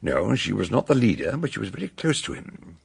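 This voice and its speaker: An actor in a radio detective drama playing an eccentric older doctor, a grave scholarly sleuth who speaks in a ponderous, theatrical way, with a gravelly, husky voice. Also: male voice, on the low side, very animated, brisk, full character voice. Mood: grave, emphatic.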